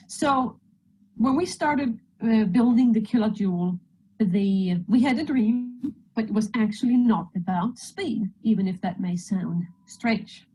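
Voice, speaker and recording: A woman's voice: fairly high pitch, darkish tone, slight background noise, rough recording. A woman from Sweden with a Swedish accent, giving a friendly, joking presentation over a video call. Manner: friendly, joking, slides through a talk. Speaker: guest presenter. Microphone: video call microphone.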